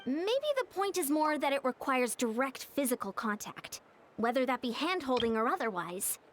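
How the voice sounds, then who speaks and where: A woman playing an earnest, cautious young female war leader in a video game, speaking in a measured, courteous way. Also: high-pitched, very animated, noisy background. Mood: wary. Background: background music.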